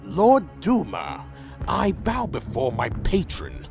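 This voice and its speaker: An actor playing a troubled, earnest vampire leader who speaks formally and solemnly in an audio drama. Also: male voice, on the high side, wildly swooping pitch, slow pace, creaky, over music, exaggerated voice. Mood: deferential, pleading. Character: earnest, troubled male vampire clan leader, proud yet deferential. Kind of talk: audio drama narration and dialogue.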